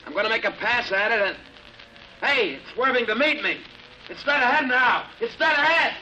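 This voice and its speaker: An actor playing a desperate, haunted test pilot in a 1950s radio drama, speaking with urgency and intensity. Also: male voice, extremely high pitch, animated, pushed and bright, heavily acted. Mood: excited. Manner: urgent, intense, halting when entranced. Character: desperate, haunted male rocket test pilot raving under hypnosis. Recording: muffled, hissy old radio recording.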